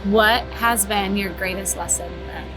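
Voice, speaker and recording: A woman interviewing a guest for a magazine, asking brisk, prepared questions and adding short encouraging remarks. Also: mid-range voice, steady tone, poor audio. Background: music.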